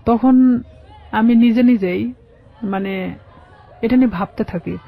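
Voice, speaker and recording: A Bangladeshi woman giving personal testimony as an interview guest, in a halting, plain-spoken way. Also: on the high side, creaky, some chatter behind. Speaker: guest telling her life story. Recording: dull, muffled lo-fi sound.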